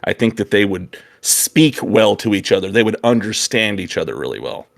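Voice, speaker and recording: A man's voice: on the low side, very animated, moderate pace, vocal fry. A man co-hosting a casual geeky chat, agreeable and thinking aloud as he goes. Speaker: co-host of a hobby video channel.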